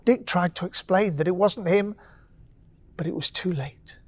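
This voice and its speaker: A woman narrating a bedtime story with gentle, expressive pacing and dramatic pauses. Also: fairly low pitch, no room sound.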